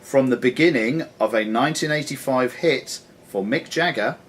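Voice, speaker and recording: A man acting as quizmaster, reading questions aloud in a deliberate, formal announcing way in a club room. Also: mid-range voice. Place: sports and social club room. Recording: noisy live recording in club room.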